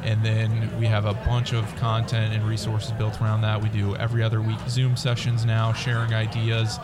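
A man's voice: on the low side, monotone, moderate pace, loud music behind the voice. A man being interviewed as a company representative, explaining his product in a conversational way. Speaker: interview guest, company representative pitching school software.

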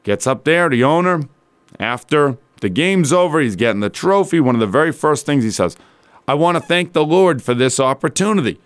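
Rough-voiced man hosting sports talk radio, dry sound. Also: on the high side, expressive, normal speed, dry recording. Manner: colloquial, rambling, conversational asides. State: slightly rough, strained voice from yelling.

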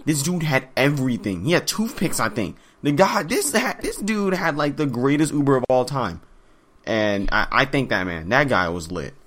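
A man co-hosting a podcast, telling animated stories in casual slang. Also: mid-range voice, normal speed, a little hiss or hum. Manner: animated storyteller, says man and dude. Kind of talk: casual chat.

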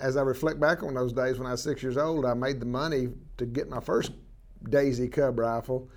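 A man's voice: medium pitch, steady tone, fairly quick. A man, a guest telling stories about his life in a rambling way with many fillers. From southwestern Oklahoma. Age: 60s. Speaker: interview guest, wildlife biologist. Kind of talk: relaxed storytelling interview.

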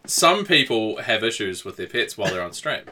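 A man co-hosting a casual podcast with a dry, wry sense of humor. His voice is bright and forward, moving animatedly through his pitch range. He stumbles and restarts as he thinks aloud. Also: sing-song, moderate pace, slight background noise. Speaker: podcast co-host.

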